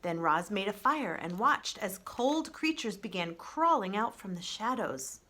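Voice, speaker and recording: A woman narrates a children's storybook with expression. Her voice sits at an average pitch for a woman. She reads aloud in the manner of a children's librarian sharing a chapter book. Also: lively intonation, measured pace, pushed and bright.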